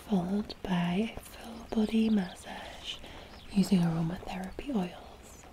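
A young woman from Scotland whispering softly and slowly as a spa masseuse in an ASMR roleplay, close to the mic. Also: medium pitch. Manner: soft slow whisper, soothing and unhurried. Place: indoors, in front of a woodland spa backdrop. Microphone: close ASMR mic, leaning toward camera.

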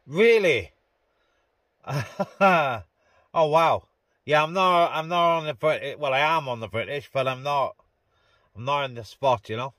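Bright-voiced man chatting in a car. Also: on the high side, measured pace, long pauses, no room sound. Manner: informal, addressing viewers as guys. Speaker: vlogger and radio operator. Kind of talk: casual vlog chat and radio talk. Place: inside a parked car.

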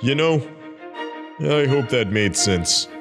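An easygoing cartoon skeleton played by a man, kindly and casual. He takes his time in a mid-range voice, halting here and there over faint music. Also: medium pitch, lively intonation. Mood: gentle, reassuring. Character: laid-back, kindly skeleton big brother. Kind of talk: comic dub character acting.

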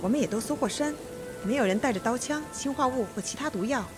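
A woman playing a composed, authoritative judge in a Mandarin radio mystery, speaking in a measured, firm manner. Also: a little high, lively intonation, over music, lightly acted. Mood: calm, commanding.

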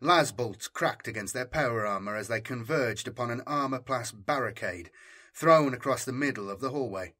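Male narrator, husky voice, mid-range pitch, dramatic scripted delivery, military sci-fi audiobook. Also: moderate pace, pushed and bright.

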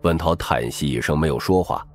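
A man's voice: very deep voice, a little fast, faint music behind. A man reading scripted, dramatic narration as an audiobook narrator.

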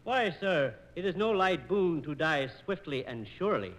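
Man as gallant condemned colonel, animated voice. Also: a little high, normal speed, over loud music, lightly acted, poor audio. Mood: brave, wry. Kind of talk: 1940s radio operetta acting.

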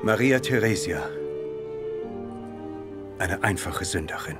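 Slow, solemn ceremonial supplicant. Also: male voice, low-pitched, animated. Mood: solemn, humble. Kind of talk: docudrama re-enactment. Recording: music over the voice.

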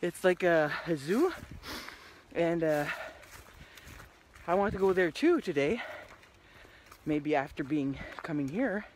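A middle-aged woman talking casually to her phone while walking a wooded trail, rambling with many restarts and often trailing off into a quiet murmur. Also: lowish voice, expressive, slow, pauses often, a little hiss or hum. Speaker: hiking vlogger. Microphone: phone held at arm's length.

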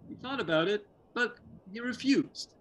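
A man's voice: very high voice, expressive, normal speed, fairly bright tone. A middle-aged man playing a scheming, persuasive manservant in a staged comedy reading over a video call. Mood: reciting. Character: scheming, persuasive manservant advising his lovesick master. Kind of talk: staged reading of a comic play. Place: book-lined room at home. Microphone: computer on a video call. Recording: noisy home video-call audio.

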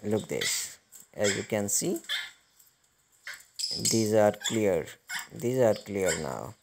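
A man hosting a hands-on electronics repair tutorial, explaining step by step in a halting manner. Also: lowish voice, sing-song. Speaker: host of electronics repair channel. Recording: clearly noisy recording with clicking.

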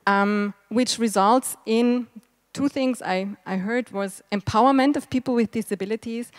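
A woman gives an improvised spoken summary at a conference, speaking in a halting, deliberate way. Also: medium pitch, dry recording. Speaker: session summarizer. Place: conference session, indoors.

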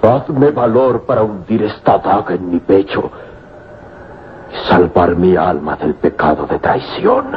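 A man's voice: low voice, very animated, measured pace, slight background noise. An actor playing a grief-stricken young Egyptian man with solemn, theatrical declamation in an old radio drama. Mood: anguished. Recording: dull old radio drama recording with music.

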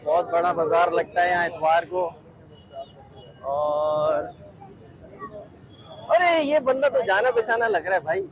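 A man's voice: high voice, lively intonation, slow, pauses often, rough recording. A young man chatting casually as he films himself walking through a crowded outdoor bird market, asking sellers about prices. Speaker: vlogger. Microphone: handheld phone at arm's length.

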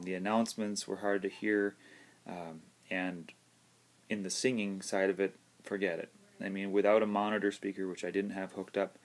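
A man in his thirties giving a casual, conversational gear review as a DJ, in a halting way, recorded indoors at arm's length. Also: low-pitched, gravelly. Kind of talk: casual video review talk. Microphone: phone or camera at arm's length.

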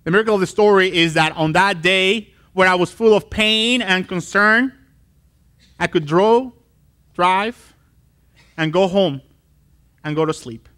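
Animated male pastor, high bright voice. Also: a little slow, long pauses, clean tone. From Colombia. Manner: animated storytelling, acts out voices. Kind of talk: sermon. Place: church stage with wood wall.

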